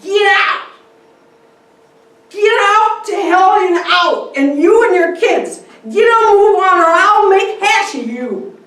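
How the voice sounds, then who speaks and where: A woman's voice: very high-pitched, expressive, takes it slowly, a bit bright, voice pushed up, full character voice. A woman voicing a crazy drunk, menacing man bellowing threats in a dramatic story reading. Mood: drunken rage. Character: crazy drunk, leering male hoodlum, menacing and violent. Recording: very echoey room, distant mic, faint noise.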